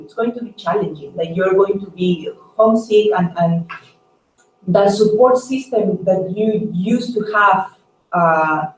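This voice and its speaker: A woman doctor giving advice on a conference call, talking haltingly with "like" and "right?" dropped in often. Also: mid-range voice, a little mellow. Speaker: staff doctor. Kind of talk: advisory conference call talk. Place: echoey room on a conference call. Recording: roomy, distant mic, noisy conference call.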